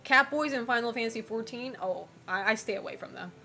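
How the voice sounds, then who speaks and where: A woman's voice: a little high, a little fast, bright, forceful tone. Young woman streamer, casual and frank, lots of laughs and fillers, faint music under her. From United States and Japan. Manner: chatty, frank, laughs often, many fillers. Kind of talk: casual chat reading viewer messages.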